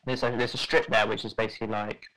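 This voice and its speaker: A man's voice: fairly low pitch, level tone, quick pace, a bit bright, slight background noise, low-quality recording. A young man telling a story in casual podcast chat, rambling with lots of fillers and restarts.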